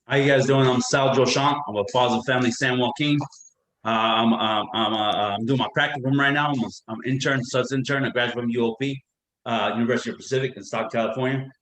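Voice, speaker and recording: Guy from afghanistan doing a quick intro on a video call, lots of restarts, echoey room. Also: mid-range voice, steady tone, fairly bright tone. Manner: halting, many restarts and fillers. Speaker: workshop participant, intern. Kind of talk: casual self-introduction.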